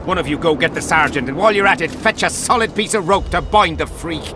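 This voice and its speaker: An actor playing a hostile, foul-mouthed army camp guard with a coarse, threatening bark in a video game. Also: male voice, fairly high pitch, fast, background noise, lightly acted. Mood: hostile contempt. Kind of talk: dramatic video game dialogue.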